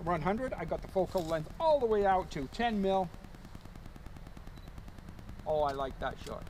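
An older man talking casually to camera outdoors, musing with pauses and repeating words for emphasis. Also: high voice, very animated, a little mellow, poor audio. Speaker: landscape photographer, vlogger. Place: outdoors in woods and open field. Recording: outdoor ambient noise.

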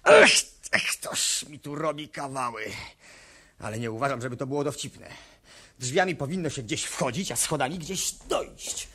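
A man playing an earnest, grumbling little storybook creature in a children's radio play, indignant and fussily matter-of-fact, with a husky voice. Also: mid-range voice, expressive, moderate pace, fairly bright tone. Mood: puzzled, indignant.

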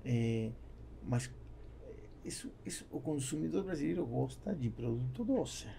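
A man's voice: medium pitch, expressive, a little slow, vocal fry, mellow, a little hiss or hum. A man, explaining things through anecdotes as a guest in a studio conversation. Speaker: podcast guest, business owner. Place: small foam-treated podcast studio. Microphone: boom-arm studio microphone, close.

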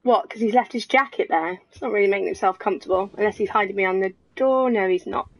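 A Welsh woman with an accent softened by London, giving chatty, jokey gameplay commentary and swearing now and then. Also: medium pitch, quick pace, poor audio. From Wales. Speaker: let's play streamer.

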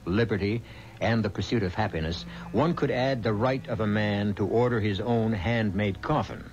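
An actor narrating eerie tales as host of a radio mystery, grave and measured. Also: male voice, on the low side, lightly acted. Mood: grave, musing. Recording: muffled old radio recording. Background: loud music.

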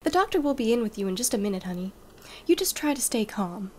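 A woman doing a sweet pony nurse, fairly high voice, reassuring, calls everyone dear. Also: sing-song, quick pace, mellow, slight background noise. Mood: soothing. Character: kindly motherly pony mare nurse. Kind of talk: fan radio play acting.

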